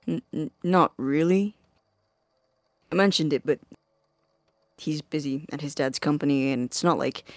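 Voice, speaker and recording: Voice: mid-range voice, dry recording. An actor playing an awkward, hesitant young man about to leave for college, in a naturalistic audio drama. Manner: hesitant, halting, self-conscious.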